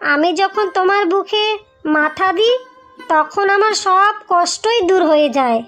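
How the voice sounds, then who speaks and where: Woman as sweet, very high-voiced new bride. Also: a little slow. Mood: tender, obliging. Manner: gentle, obliging, affectionate. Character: sweet, devoted new young wife. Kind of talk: Bengali moral-story cartoon acting. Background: background music.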